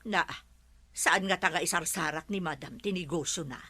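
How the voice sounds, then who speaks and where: Curious, lowish-voiced woman. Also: moderate pace, sharp tone, breathy edge. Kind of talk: Ilocano radio drama acting.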